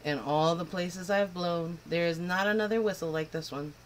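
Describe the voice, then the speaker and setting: A woman's voice: on the low side, moderate pace, lightly acted, rough recording. Woman doing a brusque, boastful old wind spirit for a storybook reading; faint hiss behind. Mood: gruff. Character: gruff old male north wind spirit.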